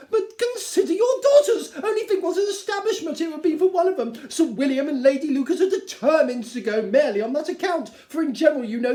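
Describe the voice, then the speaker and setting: A man voicing a fussy, excitable middle-aged wife, gushing and plaintive, in a theatrical reading of a classic novel. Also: very high voice, voice pushed up. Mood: urging, peevish. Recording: roomy, echoing indoor sound.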